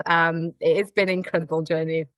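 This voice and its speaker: A woman's voice: low voice, level tone, a little fast, fairly bright tone, no echo. A woman from Poland with a Polish accent, a podcast guest telling her story earnestly with rising emphasis. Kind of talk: podcast interview.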